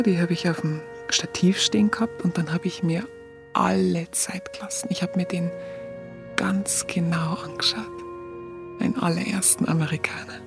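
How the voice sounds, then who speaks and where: An actress playing a curious, smitten young woman photographer in a radio play, chatty and colloquial with a Bavarian accent. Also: lowish voice. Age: young adult. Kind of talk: radio play narration and dialogue.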